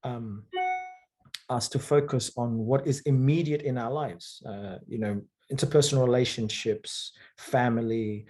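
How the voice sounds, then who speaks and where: Thoughtful man, mid-pitched. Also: fairly even intonation, a little slow, darkish tone. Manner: thoughtful, hesitant, with frequent fillers and rhetorical "right?". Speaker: author and guest. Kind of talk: conversational interview.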